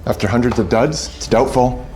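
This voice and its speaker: A man voicing a proud, defiant young werewolf alpha leader in an audio drama, with a gravelly, sharp-tongued delivery. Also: medium pitch, moderate pace, echoey room, soft background music, lightly acted. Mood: dismissive.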